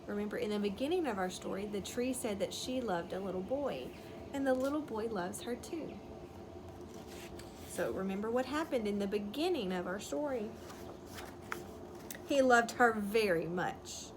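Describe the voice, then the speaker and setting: A woman teacher reading a picture book aloud to young children, pausing to explain words simply and ask questions. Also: mid-range voice, animated. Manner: instructive, explains words simply, checks understanding. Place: outdoors. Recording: clearly noisy outdoor ambience, wind chime. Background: birds chirping, wind chime.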